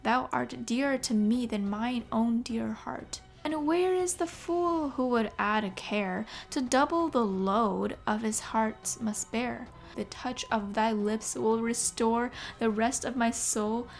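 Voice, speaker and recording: A woman reading the lines of an ardent, lovesick noblewoman as slow, earnest verse. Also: on the high side, vocal fry, soft background music. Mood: pleading.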